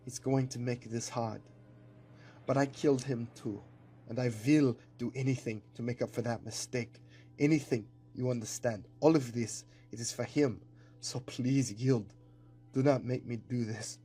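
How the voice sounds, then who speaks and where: A man acts out a villainous war captain in a tabletop drama. He speaks in a solemn, deliberate way, coldly regretful and menacing, with music playing underneath. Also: medium pitch, fairly even intonation, mellow, slightly rough, lightly acted. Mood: wistful menace.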